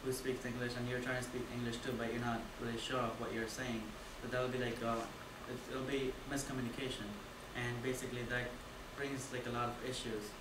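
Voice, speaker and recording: A young man from India gives a casual class talk, full of fillers, in a flat, even voice. He stands well away from the camera in a roomy, echoing classroom, with a steady hiss under him. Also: medium pitch, fairly quick, few pauses. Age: young adult. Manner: casual, filler-heavy, loose phrasing. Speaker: student presenter. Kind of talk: class presentation. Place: dim classroom with projector. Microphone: far camera. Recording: echoey room from far off with noise.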